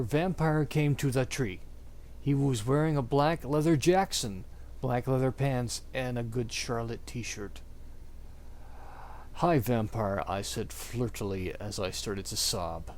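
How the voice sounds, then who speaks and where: A man's voice: mid-range voice, lively intonation, measured pace, darkish tone. A man giving an exaggerated dramatic reading of fan fiction, prone to stumbles and breaking up. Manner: theatrical, exaggerated delivery. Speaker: amateur reader.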